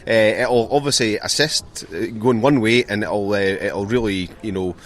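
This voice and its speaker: Male coach, low pitch, measured and hesitant, faint outdoor noise. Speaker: rugby club coach being interviewed. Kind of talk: pre-match sports interview.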